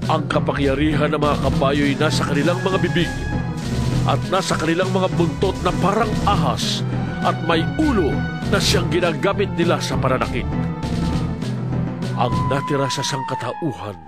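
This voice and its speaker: A man's voice: on the high side, huge pitch swings, moderate pace, loud music behind the voice. A man playing an earnest prophet recounting his vision in a declamatory scripture narration for an audio drama. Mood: grave, earnest.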